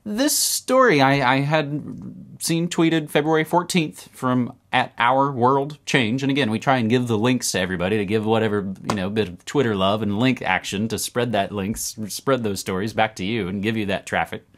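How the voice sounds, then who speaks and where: A man in his thirties hosting a casual news roundup to camera from a room at home, animated and talking with his hands. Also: mid-range voice, moderate pace. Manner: animated, talks with his hands, upbeat asides. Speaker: podcast host. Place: home room with record shelves.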